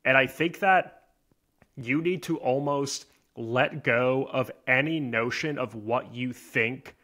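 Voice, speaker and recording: A man's voice: medium pitch, slow pace, sharp tone. A man co-hosting a podcast, talking casually and analytically, sometimes in emphatic short phrases. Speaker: podcast co-host.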